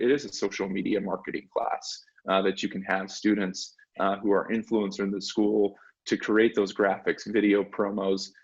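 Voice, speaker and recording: A man giving conversational, explanatory answers as an interviewee, pitching an education service and repeating words as he phrases things. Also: husky, dull audio. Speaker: interviewee, education software representative.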